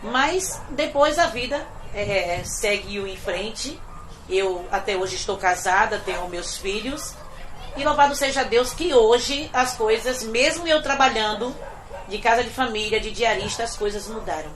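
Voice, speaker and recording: A middle-aged woman from Bahia, a domestic worker, giving an earnest, reflective personal testimony that often trails off quietly. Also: on the high side, unhurried, slight background noise. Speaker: domestic worker telling her story.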